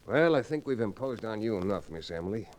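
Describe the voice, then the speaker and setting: An actor playing a cool, steady frontier lawyer in an old-time radio western, speaking in a measured, understated way. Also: male voice, lowish voice, lightly acted. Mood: cool, probing. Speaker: lead actor and narrator. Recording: noisy old radio recording.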